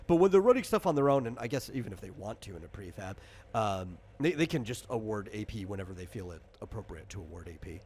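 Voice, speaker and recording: A man's voice: fairly low pitch, big pitch swings, fast talker, darkish tone, a little hiss or hum. Rambling man explaining a tabletop game. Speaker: game designer and game master. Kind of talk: casual tabletop game walkthrough.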